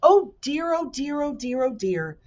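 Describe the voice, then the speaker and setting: A woman's voice: high voice, sing-song, measured pace, a little mellow, lo-fi sound, voice pushed up. A woman voicing an enormous, boastful male bee in a children's storybook, fussy and fond of spelling words out letter by letter. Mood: nervous, fretting.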